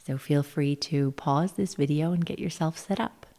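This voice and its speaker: A woman guiding a meditation session in a gentle, soothing, measured manner, her phrases often trailing off into breathy whispers. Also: deep voice. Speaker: yoga nidra guide, session host. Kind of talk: guided meditation narration.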